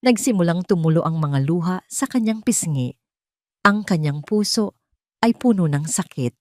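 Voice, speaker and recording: Low-pitched woman narrating a dramatic story. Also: animated, moderate pace, dark tone, no room sound, studio quality.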